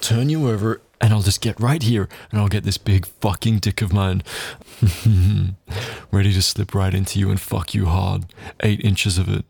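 A man with a husky, breathy voice that sits low in his register. He speaks in a dominant, taunting tone, close and intimate, breaking up his lines with heavy breaths and muttered exclamations. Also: steady tone, normal speed, darkish tone, no room sound. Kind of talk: scripted adult audio roleplay.

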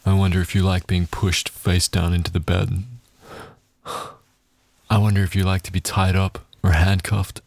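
A man's voice: flat delivery, moderate pace, creaky, long pauses, no echo. A man performing an adult audio roleplay in a dominant, taunting tone, with heavy breaths and muttered exclamations between lines. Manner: dominant and taunting, low intimate delivery.

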